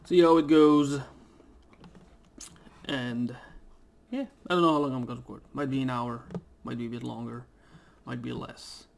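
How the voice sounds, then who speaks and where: A young man talks casually over a game at home into a desk mic, and he rambles and hesitates with plenty of fillers. His throat is irritated, so he keeps clearing it. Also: mid-range voice, fairly even intonation, moderate pace, a little mellow. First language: Dutch. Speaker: gaming YouTuber. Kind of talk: casual let's play commentary. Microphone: desk microphone.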